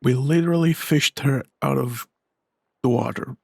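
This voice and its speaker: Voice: mid-range voice, normal speed, gravelly, a little mellow, dry recording. A gaming video creator giving casual, offhand commentary over a playthrough, with dry remarks.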